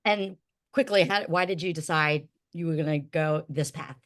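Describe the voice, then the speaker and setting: A woman moderating a conference panel, introducing speakers and asking questions in a quick, upbeat way with fillers. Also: low-pitched. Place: conference stage.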